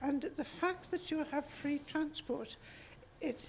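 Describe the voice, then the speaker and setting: A woman in her seventies in the audience, speaking softly and reassuringly about growing older. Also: high voice, slow pace, a little hiss or hum. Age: 70s. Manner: soft-spoken, reassuring. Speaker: audience member. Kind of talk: question-and-answer comment.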